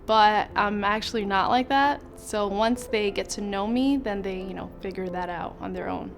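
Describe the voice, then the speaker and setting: A woman's voice: mid-range voice, normal speed. A woman student in an interview testimonial, talking shyly and hesitantly with many fillers. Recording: music playing underneath. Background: background music.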